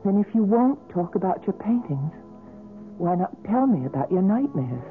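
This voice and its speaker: An actress playing a gentle, patient, concerned companion in 1970s radio drama style, soothing and earnest. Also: female voice, medium pitch, sing-song, normal speed, lightly acted. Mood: gentle, coaxing. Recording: narrow-band old radio recording, music.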